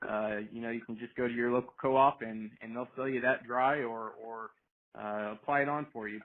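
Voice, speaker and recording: A man explaining things informally as a product specialist, halting and often repeating his words. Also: medium pitch. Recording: tinny, noisy phone conference line.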